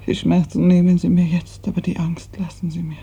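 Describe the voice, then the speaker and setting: An actress playing a gravely ill, bedridden woman in a 1950s radio drama, fearful and imploring, her weak, halting voice often sinking to a whisper. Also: lowish voice, little pitch movement, warm tone, slight background noise. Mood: anguished, accusing. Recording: muffled 1950s radio recording.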